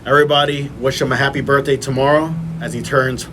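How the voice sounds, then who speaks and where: A man's voice: medium pitch, level tone, quick pace, noisy background. A man hosting a podcast, chatting casually as he runs through fight lineups and picks. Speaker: podcast host. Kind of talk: casual boxing podcast talk.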